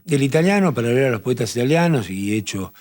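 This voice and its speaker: A man with a Rioplatense accent explaining poetry and translation in a measured talk-show conversation, often restarting his phrases. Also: medium pitch, expressive, darkish tone. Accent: Rioplatense Spanish. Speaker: literature professor on a TV talk show.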